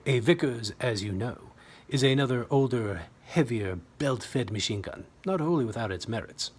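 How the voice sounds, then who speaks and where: Man, story narrator, medium pitch, slightly rough husky tone, dry humor, tongue-in-cheek delivery. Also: lively intonation, moderate pace. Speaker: author reading own short story.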